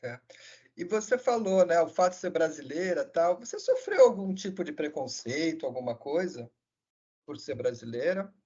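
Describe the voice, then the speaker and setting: A man asks interview questions in a relaxed, trailing way. His voice is husky and a little high, and the sound is dull and lo-fi. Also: normal speed. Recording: dull lo-fi remote audio.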